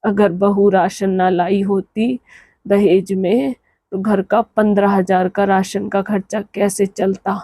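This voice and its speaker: A woman's voice: medium pitch, flat delivery, takes it slowly, warm tone, no echo, studio quality. A woman voicing a scolding, reproachful older mother-in-law in a cartoon moral story.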